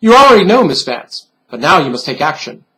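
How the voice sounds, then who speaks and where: A man's voice: on the high side, very animated, brisk, bright, forceful tone, low-quality recording. A man voicing a stern, patronizing bunny pin who lectures in formal phrases in a storybook reading.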